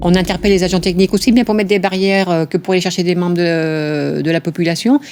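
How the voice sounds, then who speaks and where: A man's voice: sits high, fairly even intonation, quick pace, a little mellow, clean tone, soft background music. A man giving spontaneous feedback in an interview.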